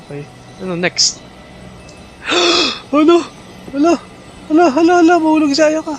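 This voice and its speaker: A man with a Filipino accent giving live gameplay commentary, excitable and quick to shriek and laugh. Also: very high-pitched, faint music behind. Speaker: game streamer. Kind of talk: live gameplay commentary mixing Tagalog and English.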